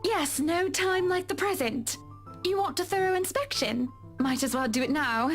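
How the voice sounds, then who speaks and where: A woman's voice: sits high, soft background music, close to an everyday voice. A woman voicing a composed, gracious wealthy wife. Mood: polite. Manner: gracious, measured.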